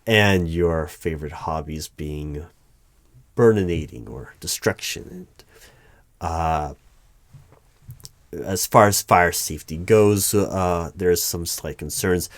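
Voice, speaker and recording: Secret-bureau hiring manager played by a man: deep, dry close sound, formal and unflappable, measured ASMR roleplay delivery. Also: dry recording. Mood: wary, dry. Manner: measured, formal, wordy, hesitant pauses. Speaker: roleplay performer. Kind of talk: one-sided ASMR audio roleplay interview.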